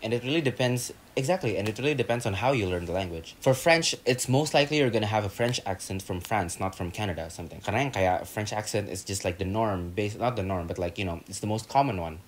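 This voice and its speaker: A man's voice: on the low side, quick pace, hardly any pauses, a little hiss or hum. A young man from Indonesia, chatting as a podcast guest in a blunt, emphatic and encouraging way. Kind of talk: casual podcast chat.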